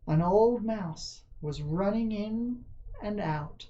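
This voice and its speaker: A woman reading a children's story aloud as scripted narration, deliberately, with dramatic emphasis on key words. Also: mid-range voice, animated, dark tone, muffled recording. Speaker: storybook reader.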